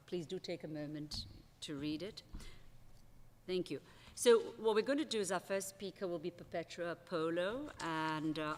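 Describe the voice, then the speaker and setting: A woman with a low voice introduces speakers at an academic panel, talking loosely and often restarting her sentences. She speaks into a table microphone on a big auditorium stage, and the sound carries a long hall reverb. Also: slight background noise. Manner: conversational, with frequent restarts and "uh"s. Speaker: session chair. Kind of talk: panel moderation at an academic conference.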